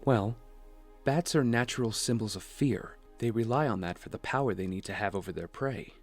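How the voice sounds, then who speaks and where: An actor playing a gentle, wise, steady father in an audio drama, speaking in a measured, reassuring way. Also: fairly low pitch. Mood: calm, instructive. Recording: music under the voice.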